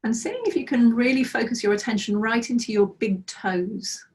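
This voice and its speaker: A middle-aged woman leading a guided mindfulness practice over a video call, speaking slowly and soothingly with gentle pauses, her voice slightly croaky. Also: a little high, distant mic. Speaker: mindfulness trainer. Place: small office with bookshelves, indoors. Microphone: laptop webcam on a video call.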